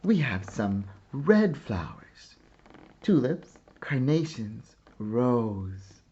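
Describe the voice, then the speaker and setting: A man reading a children's picture book aloud like a teacher, with deliberate, expressive phrasing and pauses. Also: medium pitch, slightly rough, lo-fi sound. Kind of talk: slow children's storybook read-aloud.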